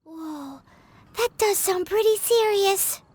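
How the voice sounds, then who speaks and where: A woman voicing a tiny, curious and excitable girl companion in a video game, with a high, childlike voice. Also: takes it slowly. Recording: game audio with music behind.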